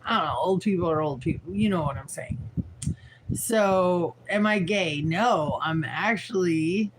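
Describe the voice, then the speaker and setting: A tired woman in her forties with a raspy voice, rambling frankly and swearing as she chats on a livestream. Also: lowish voice, a little slow, a little hiss or hum, rough recording. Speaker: livestream host. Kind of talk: casual livestream chat.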